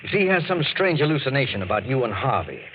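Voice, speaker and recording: An actor playing a grave, placating family doctor with a measured, serious manner in 1940s radio drama style. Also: male voice, medium pitch, very animated, lightly acted. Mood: grave, sympathetic. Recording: muffled, faintly noisy 1940s radio recording.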